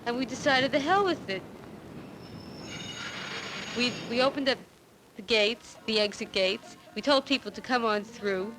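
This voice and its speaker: A woman's voice: fairly high pitch, measured pace, pauses often, noisy background. An American woman activist speaking earnestly in a documentary. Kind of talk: earnest documentary interview and commentary. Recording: old newsreel film sound with music behind. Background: music.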